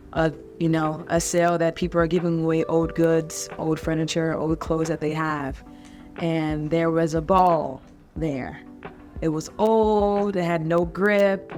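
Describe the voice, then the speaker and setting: A woman in her thirties from North Carolina, a basketball coach, telling her life story in a relaxed way with many ums. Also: low-pitched. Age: 30s. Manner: relaxed, conversational with frequent ums. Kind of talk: personal story told to camera.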